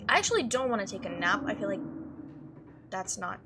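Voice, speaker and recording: A woman's voice: medium pitch, brisk. A young woman playing a visual novel, reading it aloud expressively with playful asides. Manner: animated, expressive read-aloud. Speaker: game streamer. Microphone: home microphone. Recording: noisy home microphone recording.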